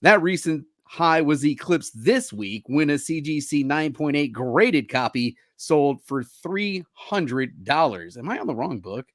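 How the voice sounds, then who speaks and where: A man's voice: on the high side, expressive, a little slow, hardly any pauses, no echo, studio quality. A man co-hosting a comic book podcast, reading sales notes in an easygoing, slightly unsure way. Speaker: podcast co-host.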